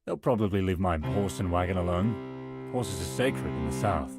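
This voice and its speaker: A man playing a weary, practical travelling merchant in a visual novel, with a husky voice and a wry, muttering manner. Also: low-pitched, animated, moderate pace, loud music behind the voice. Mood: resigned. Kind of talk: visual novel character acting.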